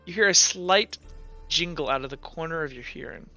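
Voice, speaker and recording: A man acting as game master, improvising narration for a tabletop game in an informal, halting way. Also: a little high, bright, forceful tone, no room sound. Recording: music behind the voice.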